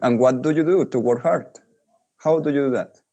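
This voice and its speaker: A man's voice: medium pitch, normal speed, mellow. A man moderating an online English conversation class, prompting students by name and keeping things moving. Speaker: teacher moderating a conversation group.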